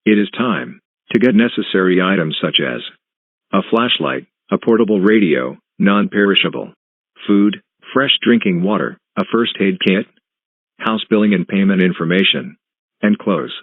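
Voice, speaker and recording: Deep guy doing emergency alert announcements, stiff and formal, muffled phone-like audio. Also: expressive, normal speed, no room sound. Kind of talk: scripted emergency broadcast announcement.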